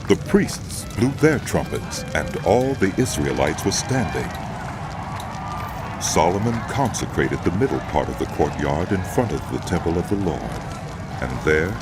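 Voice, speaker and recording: A man narrating scripture as a scripted, dramatized audio Bible reading. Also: deep voice.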